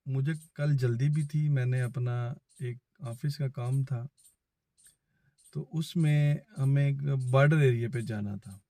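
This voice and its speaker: A man's voice: mid-range voice, dark tone, no echo. A man with a Pakistani accent telling a personal story in a casual, conversational way, quoting back-and-forth dialogue as he goes. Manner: conversational, acts out both sides of remembered phone conversations. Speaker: vlogger.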